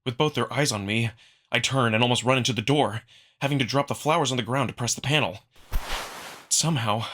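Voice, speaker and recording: Bright-voiced man narrating dramatically. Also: medium pitch. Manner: expressive, acting out the narrator's feelings. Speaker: narrator, amateur voice performer. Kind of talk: dramatic first-person visual novel narration.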